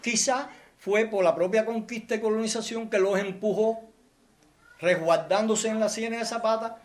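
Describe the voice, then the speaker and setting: A man's voice: high-pitched, fairly even intonation, normal speed. A man who guards a local heritage site, explaining history in an interview in a precise, lecturing way. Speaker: heritage custodian.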